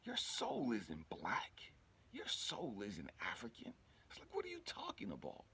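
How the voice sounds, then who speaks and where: A man's voice: mid-range voice, wildly swooping pitch, unhurried, pushed and bright, slight background noise. A man giving an informal motivational spiritual talk in an emphatic, repetitive, colloquial way, often checking in with the listener. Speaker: spiritual healer and channel host.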